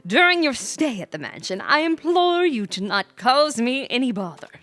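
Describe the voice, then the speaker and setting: A woman's voice: sits high, sing-song, moderate pace, fairly bright tone, no echo, soft background music. A woman voicing a stern, reluctant lord of a mansion in a game, formal and curt.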